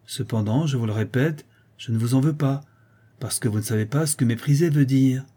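A man's voice: mid-range voice, brisk, mellow, slightly rough, pitched above the performer's own voice, lightly acted. A man voicing a placid young woman, a humble dependent in a storybook, speaking politely with a touch of condescension. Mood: coolly forgiving.

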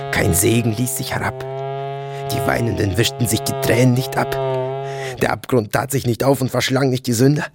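A man's voice: medium pitch, vocal fry. An actor playing a brooding, detached man in an audio drama monologue, speaking in terse fragments with sudden emphatic bursts. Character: brooding, detached male narrator recounting violence and family.

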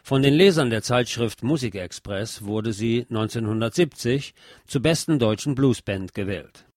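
A man reading a scripted documentary narration in a measured, factual way. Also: medium pitch, dry recording, studio quality.

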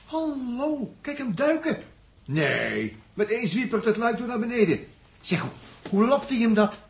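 A man's voice: extremely high pitch, big pitch swings, a little slow, vocal fry, full character voice. An actor playing a jovial, chatty male crewman aboard a spaceship in a 1960s Dutch radio drama. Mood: boisterous. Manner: chatty, colloquial, exclaiming.

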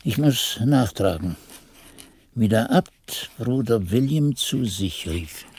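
An actor playing an anxious monk chronicler in a radio play, musing to himself as he recalls his novice days in a husky, mellow voice. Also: mid-range voice, lively intonation, slow pace, full character voice. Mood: troubled, searching.

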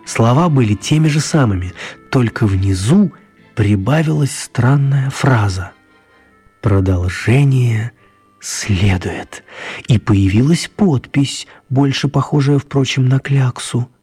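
A man's voice: mid-range voice, lively intonation, slow, a little mellow, husky, full character voice. An actor narrating an audio drama as a writer telling his own magical tale. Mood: storytelling. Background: music.

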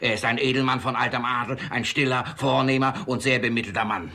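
A man's voice: medium pitch, steady tone, fast, pushed and bright. An actor playing a domineering, miserly old father in a classic comedy radio play, blustering and insistently repeating his words. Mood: self-important.

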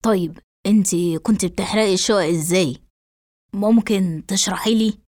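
A woman voicing a calm, probing male police investigator in an audio story, measured and questioning, with an Egyptian accent. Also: medium pitch, no echo, clean studio sound, voice pushed down, close to an everyday voice. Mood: calm, suspicious.

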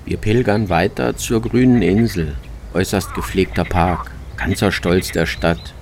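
A man's voice: deep voice, steady tone, a little slow, creaky, slight background noise. A man narrating a scripted, literary travel piece for radio as its reporter.

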